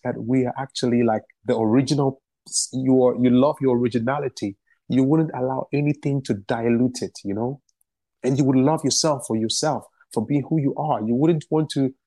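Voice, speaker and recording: A man's voice: medium pitch, gravelly, dark tone, slightly rough, no echo. A man from Ghana, a musician and activist, answering interview questions in a passionate, emphatic way and repeating phrases for stress.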